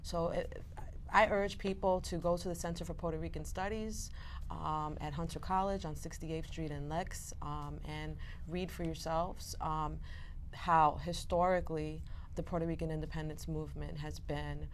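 A woman in her thirties, a poet, speaking as a guest on a TV studio panel in a measured way with many ums and you-knows. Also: sits low, fairly even intonation, slight background noise. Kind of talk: panel discussion.

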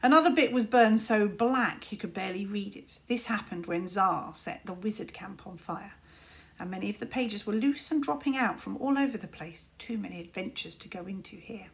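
A middle-aged woman narrating a children's story expressively, with deliberate dramatic emphasis. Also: mid-range voice, gravelly, rough recording. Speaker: teacher reading to pupils. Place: small room indoors.

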